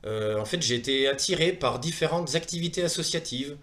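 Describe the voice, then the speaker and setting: A man's voice: medium pitch, lively intonation, fairly bright tone. Hesitant, earnest Frenchman presenting. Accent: French from France. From France, Saumur in Maine-et-Loire. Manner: earnest, hesitant, with frequent pauses and word repetitions. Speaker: presenter of a wellness training programme. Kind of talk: semi-prepared online presentation.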